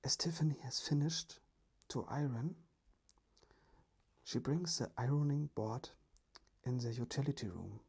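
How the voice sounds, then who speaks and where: Whispery asmr storyteller, really slow n careful, super breathy. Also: mid-range voice. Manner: hushed, careful, deliberate reading.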